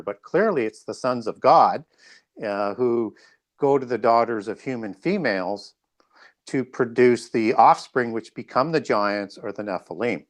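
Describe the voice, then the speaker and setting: A man speaking as an interview guest, explaining in a scholarly but halting way and correcting himself as he goes. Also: fairly low pitch. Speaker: guest author.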